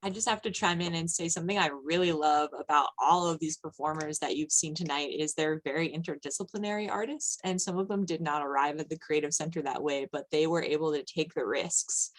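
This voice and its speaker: A woman with a markedly low voice speaks as a panelist. Her delivery is conversational and reflective, thinking aloud as she goes. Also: fairly quick, hardly any pauses, low-quality recording. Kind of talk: casual, reflective panel talk.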